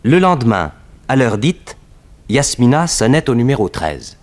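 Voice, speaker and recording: Very animated storyteller, mid pitch, dramatic scripted narration, early 1960s French radio detective serial. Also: male voice, measured pace. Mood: suspenseful.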